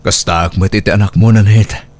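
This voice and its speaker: A man's voice: lowish voice, steady tone, brisk, darkish tone, close to an everyday voice. A man with an Ilocano accent playing a tender, devoted middle-aged husband and single father in a sentimental audio drama. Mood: tender, earnest. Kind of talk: Ilocano radio-style audio drama acting.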